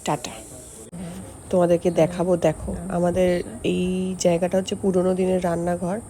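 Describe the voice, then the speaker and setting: A woman's voice: on the low side, level tone, moderate pace. A woman with a West Bengali accent hosting a casual vlog, talking directly and conversationally to her viewers. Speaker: vlog host. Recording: noisy on-location recording with loud ambience.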